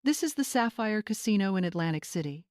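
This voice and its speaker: A woman's voice: mid-range voice, animated, a little fast, a little mellow, no room sound, studio quality, lightly acted. Woman acting a steely, composed older mother. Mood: cold, accusing. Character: steely wealthy older mother, controlled and unflinching.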